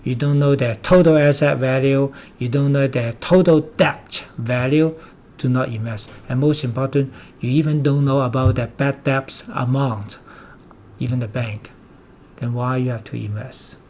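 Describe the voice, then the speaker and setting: A man's voice: medium pitch, steady tone, measured pace, darkish tone, a little hiss or hum. An older man from Hong Kong with a Hong Kong accent, a native Cantonese speaker, giving investment commentary in an emphatic, repetitive, lecturing way. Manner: emphatic and repetitive, with blunt warnings.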